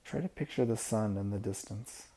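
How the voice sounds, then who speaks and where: A man leading a guided imagery meditation, giving soft-spoken, unhurried instructions with pauses between phrases. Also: on the low side, slightly rough, low-quality recording.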